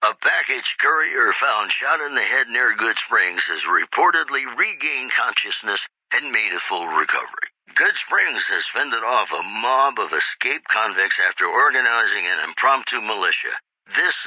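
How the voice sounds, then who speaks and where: A suave game-world radio newsman with a low, very bright, forward voice. He reads bulletins like a retro lounge showman, lilting up and down in a close, dry sound. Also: dry recording, exaggerated voice, rough recording. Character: suave male radio DJ and newscaster in a post-apocalyptic game. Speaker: radio host and news announcer.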